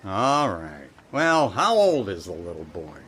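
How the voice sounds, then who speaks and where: A man's voice: deep voice, very animated, a little slow, fairly bright tone, husky, full character voice. A man playing a brusque, put-upon store doorman in an old-time radio drama, plain-spoken and weary. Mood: exasperated.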